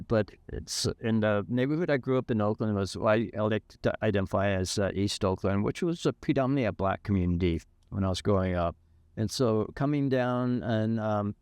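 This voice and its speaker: Man, seventies, low-pitched, unhurried interview talk with fillers, dry close sound. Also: a little mellow, clear, resonant tone, dry recording. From California. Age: 70s. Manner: thoughtful, hesitant with frequent fillers. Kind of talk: reflective interview.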